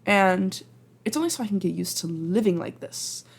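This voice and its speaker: A woman playing a flustered, awkward, chatty time traveler from the future in an ASMR roleplay, halting and rambling as she speaks to the listener. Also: mid-range voice, expressive, slightly rough. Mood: sheepish pleading.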